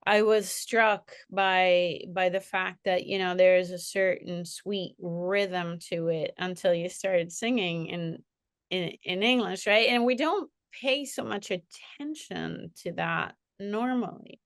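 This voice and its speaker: A woman's voice: fairly low pitch, slow, no room sound, clean studio sound. A woman moderating a panel conversation, speaking reflectively with frequent restarts and fillers. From partly raised in Switzerland. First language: bilingual, French second language.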